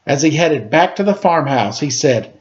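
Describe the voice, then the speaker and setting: Animated man reading a children's storybook. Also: fairly high pitch, normal speed. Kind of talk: storybook read-aloud with casual asides. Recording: dull home recording with faint noise. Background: faint household background noise.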